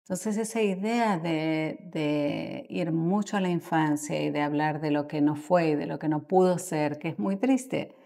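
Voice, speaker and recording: A middle-aged Argentine woman speaks in a low register with a gravelly fry. She talks as an expert guest in conversation, choosing her words thoughtfully and pausing partway through sentences. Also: dark tone. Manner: thoughtful, halting, with mid-sentence pauses. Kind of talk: conversational podcast interview answers.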